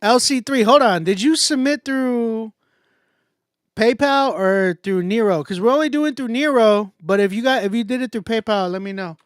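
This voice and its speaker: A man's voice: very high voice, moderate pace, clear, resonant tone, dry recording, clean studio sound. A man with an American accent hosting a music contest livestream in casual, slangy, repetitive hype talk.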